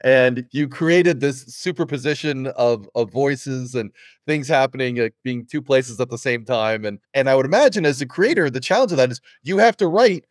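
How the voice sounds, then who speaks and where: A man with a medium-pitched voice hosts a conversational podcast interview in a very dry recording. He speaks as an enthusiastic interviewer, affirming and setting up his questions. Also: normal speed, no echo. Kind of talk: casual interview chat.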